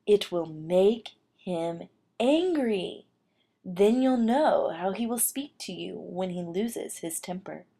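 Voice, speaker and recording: A woman voicing a shrewd old cockroach grandmother in a storybook, giving advice in a measured, knowing way. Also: medium pitch, animated, warm tone.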